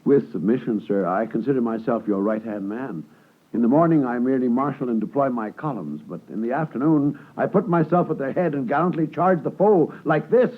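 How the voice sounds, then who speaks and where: Guy doing a pompous old office clerk, husky, grovelling and flowery, tinny old radio. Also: medium pitch, brisk, natural voice. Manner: pompous, deferential, florid. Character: pompous, obsequious, aging male copying clerk. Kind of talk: 1950s radio acting. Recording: tinny, narrow 1950s radio broadcast.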